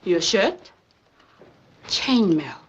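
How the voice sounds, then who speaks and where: An actress playing a cool, stern go-between for a crime boss in an old film, husky breathy voice and clipped, formal broken English. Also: female voice, fairly low pitch, sing-song, very slow, lo-fi sound, slight background noise, heavily acted. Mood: cool, disdainful.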